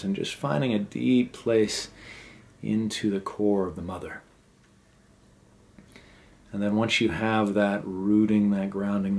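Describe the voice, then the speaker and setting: A man with a fairly low voice leads a guided meditation. He speaks gently and without hurry, in short phrases with pauses between them. The delivery is unscripted, as if he is finding each instruction as he goes.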